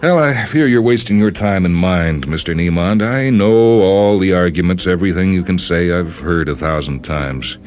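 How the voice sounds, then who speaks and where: A man playing a proud, dismissive male scientist in a story reading, with a formal, self-assured and measured manner. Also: low-pitched, a little mellow, voice pushed up, close to an everyday voice. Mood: firm, dismissive.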